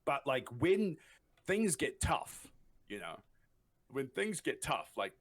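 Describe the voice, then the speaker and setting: A man's voice: mid-range voice, very animated, slow pace. A man from New Zealand with a New Zealand accent, chatting as a podcast guest, rambling with restarts and frequent laughs. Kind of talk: casual podcast chat.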